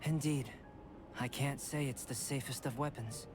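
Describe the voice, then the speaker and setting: An actor playing a calm, aloof young male alchemist in a video game, speaking in a measured, formal and reserved manner. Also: mid-range voice, close to an everyday voice. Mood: calm, analytical. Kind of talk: scripted video game dialogue. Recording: background music under the voice.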